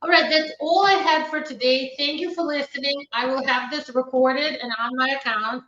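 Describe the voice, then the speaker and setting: A woman from Ukraine hosts a live immigration Q&A, reading out viewer questions and answering them with quick, practical replies. Her voice sits a little high. The stream audio is dull and distant, with a roomy echo around her. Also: steady tone, fairly bright tone. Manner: brisk, matter-of-fact answers with frequent fillers. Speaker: immigration attorney hosting a live Q&A.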